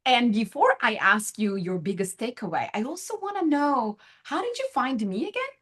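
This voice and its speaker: A woman's voice: fairly high pitch, sing-song, normal speed, a bit bright. A woman hosting an interview podcast over a video call, gushing and exclamatory as she talks with her guest. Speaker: podcast host, coaching instructor.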